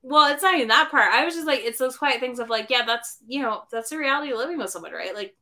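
Bright lively woman on a book podcast, says like a lot, bounces into little character voices. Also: high voice, fast. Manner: animated, many "like" fillers, acts out characters' lines. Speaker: podcast co-host. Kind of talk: casual book discussion.

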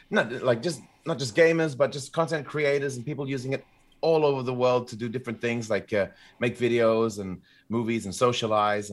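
A man co-hosting a podcast, chatting informally and enthusiastically about gaming news. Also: mid-range voice. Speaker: podcast co-host. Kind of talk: casual chat, commentary on gaming news.

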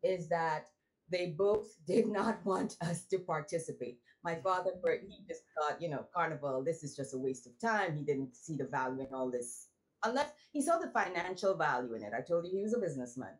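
A woman's voice: low-pitched, expressive, fairly quick, poor audio. Reflective woman telling stories. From Trinidad. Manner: reflective storytelling with frequent laughs and restarts. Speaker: guest. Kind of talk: casual interview conversation.

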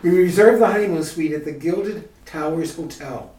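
A man's voice: on the high side, normal speed, darkish tone, natural voice. A man playing an earnest, eager young bridegroom in a retro radio comedy. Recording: echoey room, distant from mic.

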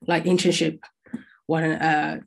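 A young woman from China speaks on an online panel in a lowish voice with heavy vocal fry. Her delivery is chatty, and "like" comes up constantly. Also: level tone, takes it slowly, poor audio. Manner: chatty, frequent "like" fillers. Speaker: alumni panellist, former international student. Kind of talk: panel discussion answer.

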